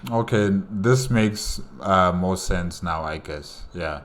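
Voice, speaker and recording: A man with a low voice hosts a reaction video. He talks casually and hesitantly, circling back over phrases as he rambles through his thoughts. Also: slow pace, a bit bright, a little hiss or hum.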